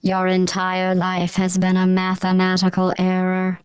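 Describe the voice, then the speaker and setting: A woman voicing a cold, murderous, sarcastic artificial intelligence in a video game, deadpan, with a synthetic voice processed flat and robotic. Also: unhurried, clean tone, no room sound, low-quality recording. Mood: deadpan menace. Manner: monotone, deadpan, menacing. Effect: robotic pitch-flattened voice processing. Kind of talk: scripted video game villain monologue.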